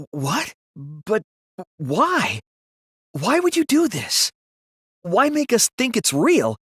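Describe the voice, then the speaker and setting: A man voicing an earnest, sensitive young student detective in an anime video game, with intense, emotional, sometimes halting delivery. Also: sits high, a bit bright, husky, dry recording, studio quality, exaggerated voice. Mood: bewildered, distressed. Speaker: voice actor.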